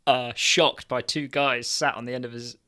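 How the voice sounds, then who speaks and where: A man's voice: mid-range voice, fairly even intonation, moderate pace, pushed and bright, clear, resonant tone. A man co-hosting a podcast, talking in a casual, comic, conversational way. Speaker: podcast co-host. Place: indoors on a video call.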